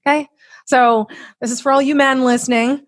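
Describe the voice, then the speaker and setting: A woman's voice: high voice, steady tone, moderate pace, no echo, clean studio sound. A woman speaking as a podcast guest, giving conversational motivational advice with acted-out examples in an emphatic, blunt, casually sweary manner. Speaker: podcast guest, author and coach.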